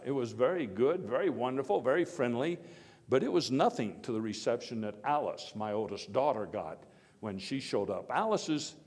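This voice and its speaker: Male reenactor as genial historical statesman, medium-pitched man's voice, folksy halting monologue, wry asides, oratorical emphasis, long hall reverb. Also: a little mellow, lightly acted. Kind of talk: live first-person historical monologue, speech to an audience.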